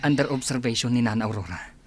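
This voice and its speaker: A man's voice: mid-range voice, lively intonation, fast talker, natural voice. A man playing a kind, composed doctor in an Ilocano radio drama, speaking reassuringly. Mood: gentle, reassuring. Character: kind, composed male village hospital doctor. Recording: background noise, ambient sound.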